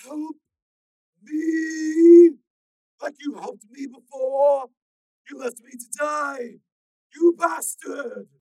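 A man's voice: very high-pitched, mellow, no echo, raised voice, pitched above the performer's own voice, exaggerated voice, poor audio. A man doing an unhinged screaming kidnapper. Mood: anguished. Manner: screaming, drawn-out, ranting. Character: unhinged male kidnapper, furious, unstable.

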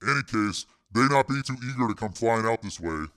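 Man doing deep, cool street tough. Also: lively intonation, a little fast, a bit bright. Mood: calm. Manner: slangy, laconic, cool.

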